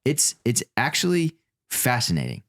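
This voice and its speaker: A man's voice: medium pitch, huge pitch swings, moderate pace, fairly bright tone. A man from Colorado hosting a podcast, chatting in an easygoing, conversational way. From Colorado, United States. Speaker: podcast host, actor. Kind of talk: casual chat.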